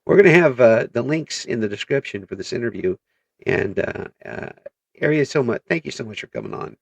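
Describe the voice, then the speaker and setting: A man hosting a casual interview, chatty and quick to laugh, often trailing off and restarting his sentences. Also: medium pitch, expressive, creaky, breathy edge, no room sound. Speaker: interview host.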